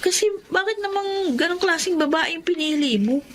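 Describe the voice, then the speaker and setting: A woman's voice: very high-pitched, expressive, normal speed, a bit bright, a little hiss or hum. A woman with a Filipino accent acting an earnest, affectionate character in a family radio drama. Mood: concerned. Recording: music behind the voice.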